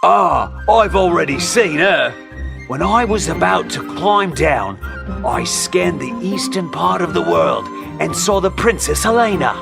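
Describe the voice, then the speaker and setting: A proud, earnest young man from a storybook, acted by a man. His voice is heavily creaky and fairly high, with lively intonation over music. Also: measured pace, exaggerated voice. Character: young prince-like tower builder, proud earnest brother. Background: clear music.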